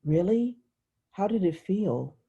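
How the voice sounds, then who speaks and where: A woman's voice: mid-range voice, animated, warm tone, slightly rough, highs cut off, pitched above the performer's own voice, exaggerated voice. A woman reading the part of a naive, impatient little girl who fires off eager questions in a novel. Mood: eager, nosy. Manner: eager rapid-fire questioning. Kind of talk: literary audiobook dialogue.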